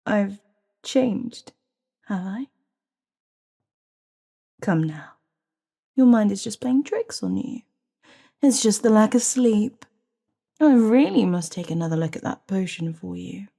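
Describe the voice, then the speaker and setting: Silky, slow, breathy evil sorceress advisor played by a woman. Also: mid-range voice, expressive, warm tone, heavily acted. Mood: feigned innocence. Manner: theatrical, mock-courteous, taunting, drawn-out phrasing. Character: scheming evil royal advisor, silky, smug, menacing sorceress. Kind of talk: dramatic fantasy audio roleplay.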